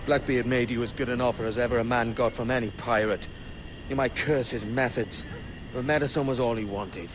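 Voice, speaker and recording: An actor playing a blunt, confident young pirate in a video game, speaking directly. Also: male voice, medium pitch, fairly even intonation, a little fast, background noise, lightly acted. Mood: cool. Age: young adult. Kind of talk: video game cutscene acting.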